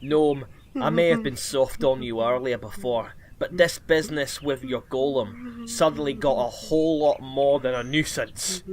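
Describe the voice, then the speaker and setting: A man's voice: mid-range voice, unhurried, a crowd in the background, lightly acted. A man playing a blunt, sweary but caring dwarf doctor with a Scottish accent in a fantasy audio drama. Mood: urgent, commanding.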